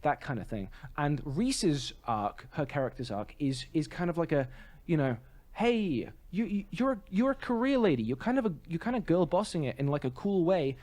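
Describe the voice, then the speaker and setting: A man co-hosting a podcast, chatting casually about film in a rambling, halting way full of self-corrections. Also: fairly high pitch, sing-song, darkish tone. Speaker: podcast co-host. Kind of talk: casual film review chat.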